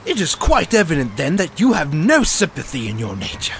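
Grumpy, self-important water-rat for audio drama, male actor; very animated intonation, faint outdoor ambience. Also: on the high side, brisk, a little hiss or hum, exaggerated voice. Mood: huffy, indignant. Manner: pompous, indignant.

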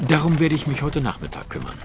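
An actor playing a cool, analytical and self-assured detective in a German audio drama, with precise, formal diction. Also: male voice, on the high side, wildly swooping pitch, fast, background noise.

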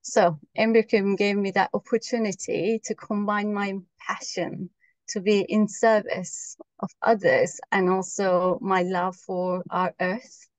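A woman's voice: mid-range voice, fairly even intonation, a little slow, no room sound. Woman from turkey, thirties, video call, earnest, dry close sound. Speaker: program co-leader. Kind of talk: webinar self-introduction. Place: wood-panelled room, indoors.

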